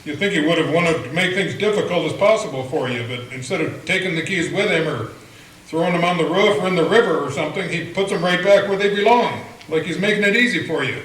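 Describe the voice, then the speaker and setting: A man's voice: on the high side, fast, a bit bright, close to an everyday voice. A man playing a fretful small-town banker. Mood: puzzled. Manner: questioning, fretful. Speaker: town banker Benson Bailey. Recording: roomy echo, a bit distant, faint hiss.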